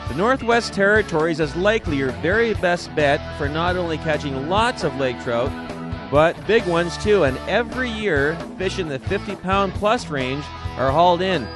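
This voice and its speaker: A man's voice: high voice, animated, moderate pace. A man hosting a fishing show, narrating in a jokey, hyped voice-over. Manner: jokey, hyped, keeps calling things rugged. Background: guitar music.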